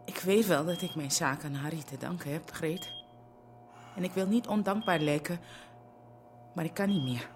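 A woman's voice: low voice, moderate pace, long pauses. An actress in an audio drama playing a weary, grieving woman, subdued. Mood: weary, fragile. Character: weary, grieving woman who has lost her child. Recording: clearly noisy ambience.

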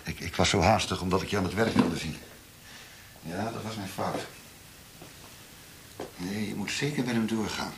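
Deep-voiced, defensive secret policeman. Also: normal speed, long pauses. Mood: flustered. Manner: hesitant when challenged, conspiratorial. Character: gruff, defensive male secret police torturer, flustered under criticism. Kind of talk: radio drama acting. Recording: noisy radio play recording with clicks.

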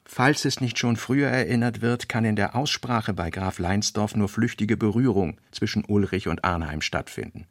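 A man reading an author's fragmentary working notes for a radio play, slowly and deliberately. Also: fairly low pitch, dry recording, studio quality. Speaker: reader.

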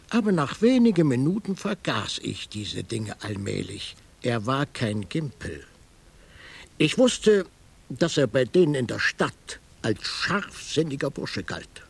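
A very husky private detective from a radio crime play. He is shrewd and laconic, with a lively pitch that moves around. His delivery is dry and probing, with gravel in the voice. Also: full character voice. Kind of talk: German radio crime drama, first-person narration and questioning.

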